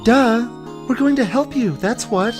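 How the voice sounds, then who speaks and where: A man voicing a perky, excitable young female sidekick in a video game, quick to take offence. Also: very high voice, over music, voice pushed up. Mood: defiant.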